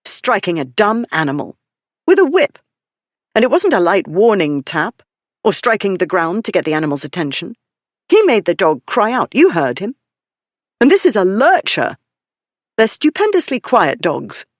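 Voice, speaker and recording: A narrator voicing an indignant aristocratic young lady who scolds crisply and imperiously. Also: mid-range voice, animated, normal speed, no room sound, pitched above the performer's own voice, close to an everyday voice.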